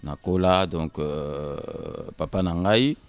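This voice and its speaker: A man in his fifties from Kinshasa with a Congolese accent, answering casually in short, halting, repeated phrases. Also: sits low, smooth. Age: 50s. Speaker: interview guest. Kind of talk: casual interview storytelling, switching between Lingala and French. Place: indoors on a sofa. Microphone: handheld microphone held by interviewer.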